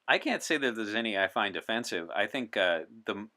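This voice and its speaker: Man, deep voice, forceful bright tone, erudite, explanatory, interview guest. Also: animated, a little fast. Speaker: podcast guest in the persona of a time traveler from 1964. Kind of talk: in-character interview talk on science fiction and current events.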